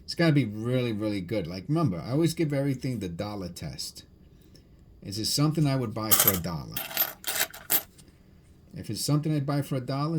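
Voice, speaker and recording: Low-voiced man rambling casually, noisy recording. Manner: musing aloud, repeats and corrects himself. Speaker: coin hunting video host. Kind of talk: rambling casual chat while sorting coins.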